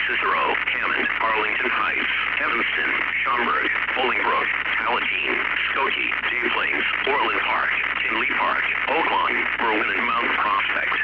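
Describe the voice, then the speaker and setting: A man's synthetic voice delivers a scripted weather alert in a stilted, automated way. His pitch is average, and the sound is muffled like a radio broadcast, with very loud noise over it. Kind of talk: scripted emergency weather warning.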